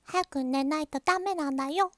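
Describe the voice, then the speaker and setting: Woman doing a cutesy high cartoon bunny voice, gentle and sing-song, loud and bright. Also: dry recording, studio quality. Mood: sleepy sweet. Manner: slow, sing-song, drawn-out sweetness. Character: sweet gentle female cartoon bunny, soft-hearted.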